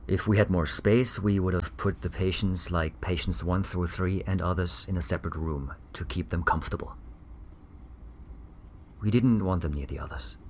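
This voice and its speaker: An actor playing a weary, defensive ex-army doctor in a grave, measured audio drama interview. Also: male voice, low voice, gravelly, slight background noise. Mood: somber.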